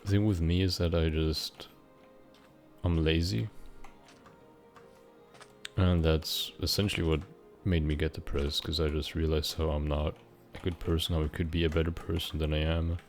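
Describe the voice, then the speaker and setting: A young man of about nineteen from Switzerland, rambling unscripted in a halting, meandering way while he plays a game, with casual swearing. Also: rumbling low, level tone, faint music behind. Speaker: gaming vlogger.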